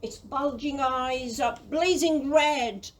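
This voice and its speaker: Woman as a high-voiced melodramatic villager. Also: takes it slowly. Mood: dramatic alarm. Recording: far from the mic.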